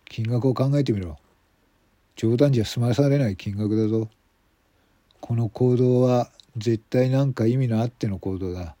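A man playing a gruff, blunt senior male police detective in a radio drama, with rough, commanding speech. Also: medium pitch, moderate pace, dark tone, dry recording, close to an everyday voice. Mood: stern.

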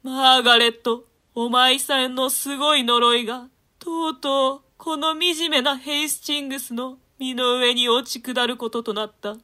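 A woman's voice: high-pitched, fairly even intonation, normal speed, sharp tone. A woman playing a doomed, remorseful nobleman in a declamatory, archaic dramatic reading of Shakespeare. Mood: anguished regret. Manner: archaic, declamatory, swelling with emotion. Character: doomed, remorseful nobleman lamenting his own folly.

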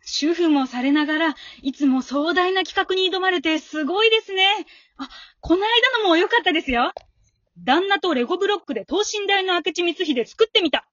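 An amateur actress playing a friendly, encouraging female fan who talks politely and gives gentle advice in a radio drama. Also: extremely high pitch, expressive, normal speed, no echo, lo-fi sound. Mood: admiring. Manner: polite, supportive, gently advising.

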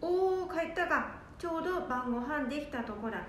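Woman as a high-voiced blunt old granny, radio play, echoey, off-mic. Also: animated, a little hiss or hum. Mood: brisk. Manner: brisk, blunt dialect talk. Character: bossy old grandmother. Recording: echoey room from a distance.

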